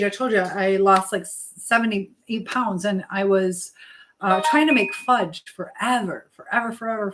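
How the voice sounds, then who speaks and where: A woman's voice: medium pitch, fairly bright tone, slight background noise, low-quality recording. Rambling woman chatting on a live stream. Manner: rambling, trailing off mid-thought. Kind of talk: casual live chat, reading out viewer comments.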